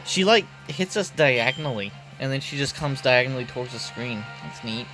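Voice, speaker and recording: A man's voice: mid-range voice, lively intonation, brisk, over music, poor audio. A man giving commentary on a video game as he plays and reading its dialogue aloud. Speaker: streamer playing and commenting on a game.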